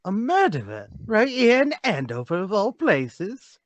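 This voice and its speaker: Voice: mid-range voice, wildly swooping pitch, measured pace, no room sound. A performer voicing a chatty, folksy, easily alarmed English woman who keeps a small-town shop, in a radio drama read. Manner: deferential, folksy.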